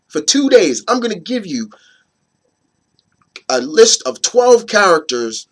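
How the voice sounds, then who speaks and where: A hoarse man in his thirties, getting over a cold, hosting his opinion video in a rambling, casual way with many fillers and restarts. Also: a little high, fairly bright tone. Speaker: host of an opinion video series. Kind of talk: casual chat to camera.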